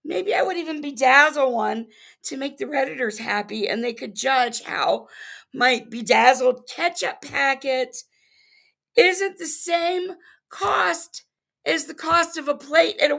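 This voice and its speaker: A hoarse woman speaks slowly and bluntly, her high voice bright but gravelly and worn. She delivers sarcastic commentary over a livestream. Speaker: reaction commentator.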